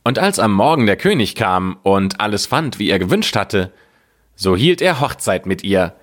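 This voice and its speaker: A man narrating a scripted fairy tale as a storyteller, in a measured, deliberate way. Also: lowish voice, very animated, a bit bright.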